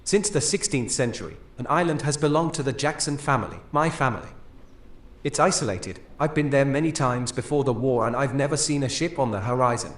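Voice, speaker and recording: Composed island-owning gentleman in echoey room, acted by a man. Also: medium pitch, normal speed, lightly acted. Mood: composed, factual. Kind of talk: audio drama dialogue in English.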